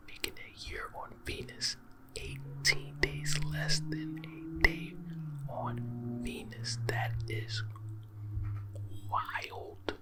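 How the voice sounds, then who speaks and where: Slow, breathy whispering man. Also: fairly high pitch. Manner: slangy, playful asides, repeats words; amazed reactions between facts. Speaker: ASMR creator. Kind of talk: whispered ASMR fact telling.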